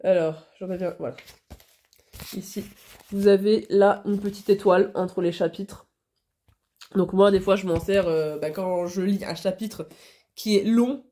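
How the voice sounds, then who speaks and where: A woman's voice: medium pitch, steady tone, measured pace, long pauses, mellow. A woman with a cold and a blocked nose, chatting casually in a hobby vlog, with many hesitations and restarts. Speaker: hobby vlogger. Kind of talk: casual chat.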